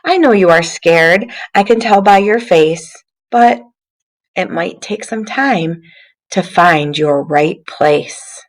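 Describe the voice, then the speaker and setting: A woman voicing a kindly, reassuring paintbrush in a children's storybook read-aloud, with a gentle manner. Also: fairly low pitch, expressive, slow, poor audio.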